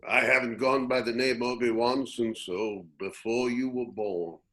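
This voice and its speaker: A man acts out a wise old hermit of the desert in a sci-fi script reading. He sounds gentle and reflective, at a middling pitch. He measures his words like a patient mentor. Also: fairly even intonation, a little slow, close to an everyday voice. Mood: musing. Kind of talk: script table read acting.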